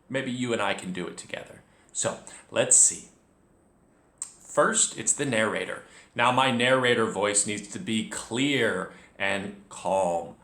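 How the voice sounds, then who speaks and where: A man leading a children's read-along lesson, speaking directly to the learner and narrating clearly as a storyteller. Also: mid-range voice. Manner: expressive, addresses the learner directly. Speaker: children's reading teacher, storyteller. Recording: echoey room.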